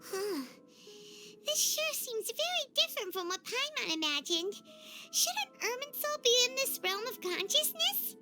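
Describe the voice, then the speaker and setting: A tiny fairy sidekick from a cartoonish video game. She is chirpy and curious, with a squeaky, extremely childlike voice pitched way up. Game music runs underneath. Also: big pitch swings, a little slow, heavily acted. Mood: puzzled. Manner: squeaky, refers to self in third person.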